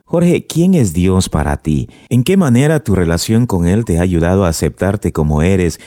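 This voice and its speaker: Deep-voiced interviewer, dry close sound. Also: male voice, big pitch swings, moderate pace, dark tone, dry recording. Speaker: radio interviewer. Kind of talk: interview questions.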